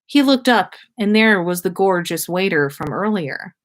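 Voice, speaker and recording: A woman's voice: on the low side, lively intonation, moderate pace. A woman reading fan fiction aloud as a podcast host, stumbling slightly over words and acting out the dialogue. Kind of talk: story read aloud on a livestream.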